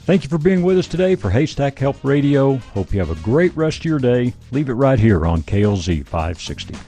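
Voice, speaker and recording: A man hosting a talk radio show, relaxed, with slow drawn-out promo reads. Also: mid-range voice, faint music behind. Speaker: radio host.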